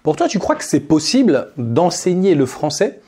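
A man chatting informally as an interviewer and fellow teacher, using relaxed everyday slang. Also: fairly high pitch, moderate pace, breathy edge. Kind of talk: casual chat, informal slang.

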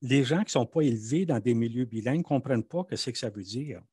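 A man's voice: mid-range voice, expressive, brisk, warm tone, husky, dry recording, studio quality. A man from Manitoba with a Canadian French accent, answering interview questions in a frank, conversational, emphatic way.

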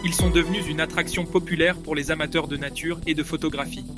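A man presenting a short segment, reading scripted facts evenly and smoothly. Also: a little high, fairly even intonation, quick pace, over music. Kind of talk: scripted fact narration.

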